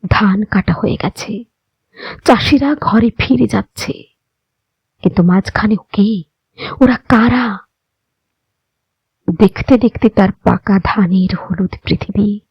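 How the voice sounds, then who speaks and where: A woman giving a dramatic, expressive poetry recitation with measured delivery and deliberate pauses. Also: fairly high pitch, slightly rough, no echo, muffled recording.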